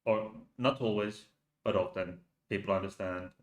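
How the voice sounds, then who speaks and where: A man from Finland with a Finnish accent, hosting a casual live stream in English and pausing often to restart his sentences. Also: lowish voice, steady tone, highs cut off. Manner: hesitant, halting with many restarts. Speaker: channel host. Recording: roomy echo.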